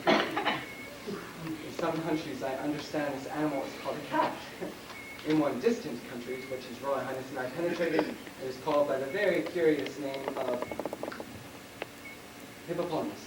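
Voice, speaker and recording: A man playing a smooth-talking, deferential prince's attendant in an amateur stage comedy, polite and measured. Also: mid-range voice, natural voice. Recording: distant, echoey, noisy stage recording.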